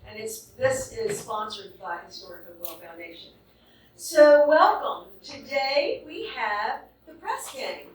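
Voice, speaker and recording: A woman hosting an event makes announcements and introduces the performers. She speaks informally and a little haltingly, in a fairly high voice. Her voice is heavily echoey and sounds far from the microphone. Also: big pitch swings. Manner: informal, slightly halting, self-deprecating. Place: indoor event hall. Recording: very echoey hall, recorded from far off.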